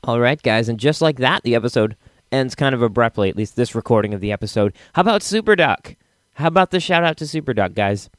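A man's voice: mid-range voice, lively intonation, quick pace, no echo, studio quality. A man hosting a podcast in a casual, enthusiastic, rambling style. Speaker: podcast host.

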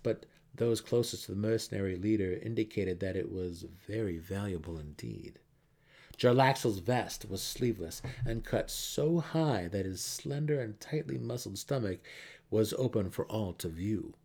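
A man's voice: fairly low pitch, moderate pace, darkish tone. A man reading a fantasy novel as a narrator in a theatrical, emphatic way. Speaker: audiobook narrator.

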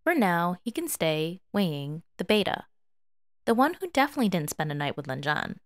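Casting: female fiction narrator, slightly low register, lively and expressive intonation, dry studio-like sound. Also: normal speed, dry recording.

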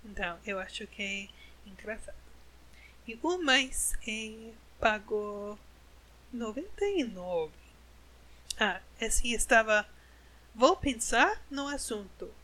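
A woman, an English native speaker, hosting a podcast in Portuguese, speaking slowly and carefully as a learner and correcting herself. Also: on the high side, animated, pushed and bright, poor audio. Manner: slow, careful learner's speech with self-corrections. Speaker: podcast host. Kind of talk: casual podcast monologue.

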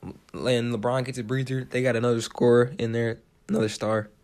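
A man's voice: medium pitch, level tone, a little fast, darkish tone. A young American man co-hosting a casual sports chat, giving his opinions in short, emphatic, informal statements. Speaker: podcast co-host.

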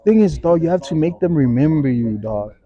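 A man's voice: on the high side, lively intonation, brisk, mellow, dull audio. A young man in his twenties with a South African accent, co-hosting a podcast in slangy, joking banter. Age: 20s. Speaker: podcast co-host. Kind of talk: casual chat.

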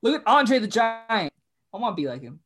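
A man's voice: high-pitched, big pitch swings, normal speed, crowd noise. A man in casual chat, joking around with playful boasts.

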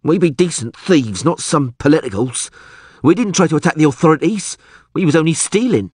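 A man's voice: a little high, expressive, fairly quick, a little mellow, breathy edge, no echo, studio quality, voice pushed up. A man voicing a surly, wary thief in an audiobook, with rustic grammar and a defensive grumble. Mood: defensive, pleading.

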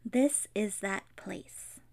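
A woman in her thirties, born in the Philippines and raised in Los Angeles, reading a scripted podcast introduction as co-host in an earnest, measured way. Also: medium pitch, gravelly, darkish tone. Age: 30s.

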